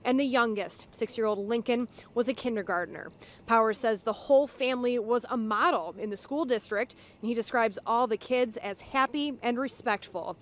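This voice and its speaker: A woman's voice: on the high side, normal speed. An American woman delivering a live local television news report outdoors. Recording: faint outdoor traffic noise.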